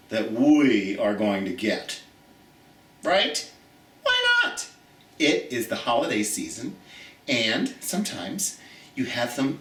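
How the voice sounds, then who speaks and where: A man hosting a children's storytime in a theatrical, folksy, chatty way, addressing listeners directly as in an expressive picture-book read-aloud. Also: mid-range voice. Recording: roomy echo, distant from the mic.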